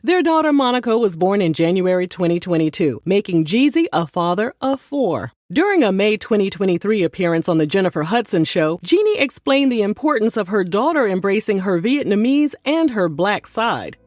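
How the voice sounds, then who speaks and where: A woman's voice: medium pitch, very animated, moderate pace, hardly any pauses, a little mellow, dry recording, studio quality. A woman narrating a celebrity gossip video from a script and breaking in with sassy, snarky ad-libbed asides.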